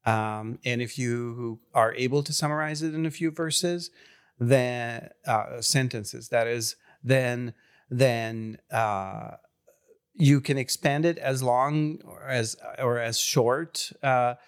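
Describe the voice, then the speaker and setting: A man from Serbia whose first language is Hungarian, a pastor speaking English in an interview, pausing often with fillers and restarts. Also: medium pitch, fairly even intonation, smooth. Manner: halting, many fillers and restarts. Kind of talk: interview conversation.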